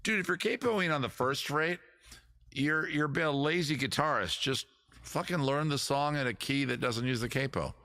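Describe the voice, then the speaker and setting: A man hosting a casual comedy music stream, rambling and joking at himself between songs while he looks up chords, with a deep voice and loud guitar playing under him. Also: moderate pace. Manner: rambling, self-mocking, swears casually. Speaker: host, singer and guitarist.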